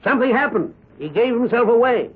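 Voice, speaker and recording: An actor playing an earnest, persuasive defense lawyer, pleading with deliberate oratory in a 1970s radio drama. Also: male voice, very high-pitched, animated, breathy edge, phone-quality sound, exaggerated voice. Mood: urgent persuasion. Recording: thin band-limited vintage radio recording.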